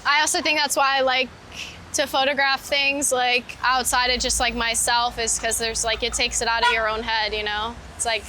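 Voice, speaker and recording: Female, informal walk-and-talk interviewee, forward bright tone, recorded outdoors with faint traffic noise. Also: a little high, flat delivery, moderate pace. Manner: informal, heavy use of "like". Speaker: interview guest, street photographer. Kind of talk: casual chat while walking. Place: outdoors on a city street. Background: street ambience and vehicles.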